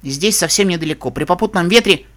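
A man's voice: high-pitched, fast talker, a bit bright. A man voicing a confident, courteous female pony sorceress in an audiobook, polite and wry. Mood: friendly, persuasive. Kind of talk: audiobook character voice.

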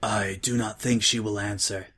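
A man voicing a calm, doubtful male changeling who speaks softly and in measured terms, with a husky voice. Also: medium pitch, close to an everyday voice. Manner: soft-spoken, measured.

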